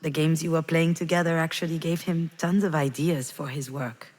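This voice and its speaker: Grieving mother for narrative game: low register, intimate halting confession, guilt-laden, long reverb tail. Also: steady tone, a little mellow. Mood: gentle, reflective. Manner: halting, confessional, addressing someone directly. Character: grieving, guilt-ridden mother confiding in her lost child. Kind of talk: dramatic video game voice acting, intimate monologue.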